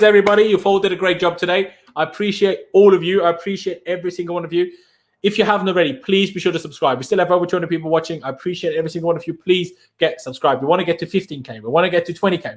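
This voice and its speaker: A young man with a German accent, a native German speaker, hosting a casual football live stream and answering viewer questions in a chatty, animated way. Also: sits high. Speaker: football channel host.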